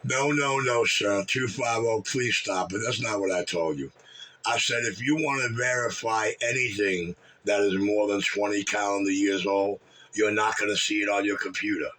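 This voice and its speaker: A man's voice: on the low side, fairly even intonation, normal speed, pushed and bright. A man, bragging and trash talking profanely in casual chat. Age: 60s. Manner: brash, boastful, profane trash talk. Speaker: regular on a call-in chat room show. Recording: distant mic.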